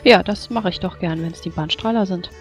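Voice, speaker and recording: A woman's voice: lowish voice, fairly quick. A woman playing a tabletop role-playing game, describing her moves in casual game talk. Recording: dull microphone, background music.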